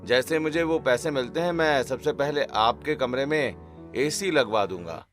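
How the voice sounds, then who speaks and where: Calm dutiful son voiced by a man, medium pitch. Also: measured pace, faint music behind, natural voice. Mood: reassuring. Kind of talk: animated family drama acting.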